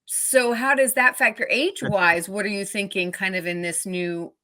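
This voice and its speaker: A woman's voice: mid-range voice, moderate pace, sharp tone. A woman hosting a casual interview, talking in an informal, upbeat and encouraging way. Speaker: interview host.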